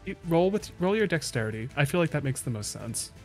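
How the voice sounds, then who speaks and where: A man's voice: a little high, expressive, brisk, faint music behind. A man running a tabletop game as game master, chatty and enthusiastic and laughing often.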